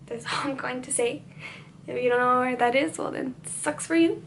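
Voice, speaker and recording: Teenage girl, high voice, native Spanish speaker, casual vlog Q&A, chatty, full of "like". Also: normal speed, vocal fry, fairly bright tone, slight background noise. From United States. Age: teens. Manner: chatty, peppered with "like", restarts sentences. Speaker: beauty vlogger.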